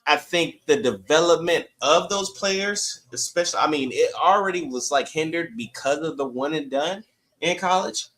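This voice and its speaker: A man's voice: fairly high pitch, normal speed, a bit bright. A man co-hosting a sports podcast, giving his opinions in casual, conversational debate.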